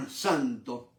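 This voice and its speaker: An older Argentine man preaching a Bible study in a fairly high voice that rises and drops as he makes his points. He is recorded a little away from the mic in a roomy space that echoes around him. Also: distant mic. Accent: Argentine Spanish. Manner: expressive, asks rhetorical questions, repeats "¿no es cierto?". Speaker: Bible teacher. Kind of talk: sermon-style Bible study.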